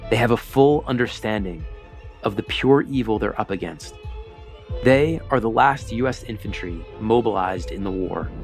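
Low-voiced solemn documentary narrator. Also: male voice. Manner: measured, solemn, dramatic emphasis. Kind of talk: scripted narration.

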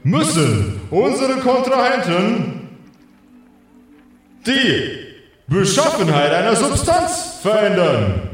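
A man playing a grand, showy announcer of a magic contest in an improvised fantasy audio play, with theatrical, drawn-out delivery. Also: high-pitched, wildly swooping pitch, heavily acted. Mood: grand, ceremonial. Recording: very echoey, distant from mic.

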